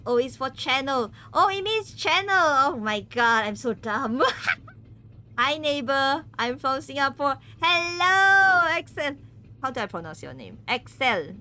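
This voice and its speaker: Woman streamer, bright higher voice, giggly and stumbling, casual chat. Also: a little hiss or hum. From Malaysia. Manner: giggly, stumbles and repeats words. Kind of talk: casual chat with viewers during a game stream.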